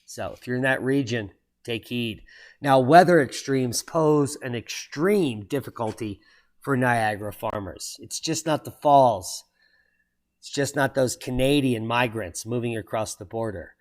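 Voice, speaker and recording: A man's voice: medium pitch, measured pace. A man hosting his own channel, reading weather and earthquake headlines aloud and breaking off for sardonic asides and sudden exclamations. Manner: exclaims loudly at surprising items. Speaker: channel host reading weather and geology news. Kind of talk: solo commentary reading headlines.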